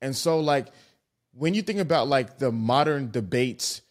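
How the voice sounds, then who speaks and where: A young man hosting a podcast speaks earnestly and reflectively, building his thoughts at length. His voice sits at an ordinary middle pitch. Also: expressive, unhurried. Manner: earnest, reflective, long-winded. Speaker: podcast host. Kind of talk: casual podcast conversation.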